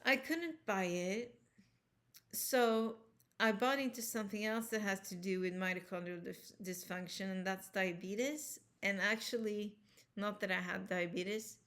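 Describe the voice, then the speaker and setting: A woman with a Swedish accent tells her own story to the camera in a casual, earnest way. Her pitch sits in the middle of the usual range. She speaks English as a second language, rambles, and often stops to restart or correct herself. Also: a little slow, fairly bright tone. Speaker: health vlogger. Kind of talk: casual personal talk to camera.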